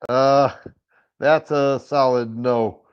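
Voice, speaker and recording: Middle-aged male stream host, raspy, stammering restarts, average pitch, muffled low-fi sound. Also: steady tone, takes it slowly. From Michigan. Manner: rambling, with frequent stammered restarts. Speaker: firearms livestream host. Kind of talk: casual livestream chat answering viewers. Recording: dull lo-fi stream audio.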